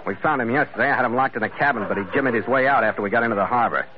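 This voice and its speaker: Actor as wry hard-boiled seafarer, muffled radio. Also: male voice, medium pitch, racing, crowd noise, natural voice. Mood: businesslike. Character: tough, wry ship's captain narrating his adventure. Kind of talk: old-time radio adventure acting and narration. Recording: muffled old radio, loud music.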